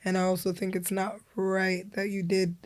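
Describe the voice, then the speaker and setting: A woman's voice: medium pitch, level tone, moderate pace. A woman co-hosting a podcast in casual chat, agreeing often and adding her own view. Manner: agreeable, backs up her co-host with frequent yeahs. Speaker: podcast co-host.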